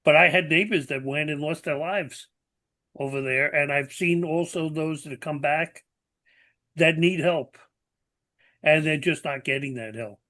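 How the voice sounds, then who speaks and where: An older man in his seventies hosts a podcast chat, his voice bright and somewhat high for a man. He talks in a plainspoken, earnest way, with plenty of "you know" and "like". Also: unhurried. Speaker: podcast host. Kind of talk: conversational interview.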